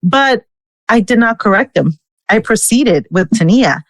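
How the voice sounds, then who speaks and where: A woman's voice: on the high side, animated, unhurried, dry recording. Woman telling her story in a dry recording. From United States. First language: Spanish. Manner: candid, personal, uses fillers like "you know" and "right". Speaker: podcast guest. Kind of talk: casual interview storytelling.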